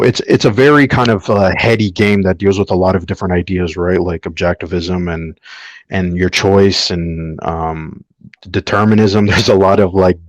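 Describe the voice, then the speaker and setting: A man's voice: low-pitched, no echo. A man on a podcast panel giving his opinion in casual discussion, argumentative and often checking with "right?".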